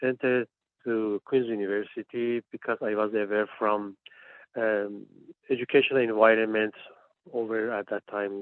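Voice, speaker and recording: A man's voice: lowish voice, dry recording. Man from Iran on a tinny phone line. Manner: hesitant, restarts often. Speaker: panelist, PhD student. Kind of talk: panel discussion. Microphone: phone call.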